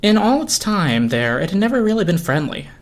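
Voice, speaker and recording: A man's voice: high voice, sing-song, brisk. A man reading a story aloud as narrator, in a dramatic storybook style. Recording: music behind the voice. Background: film score music.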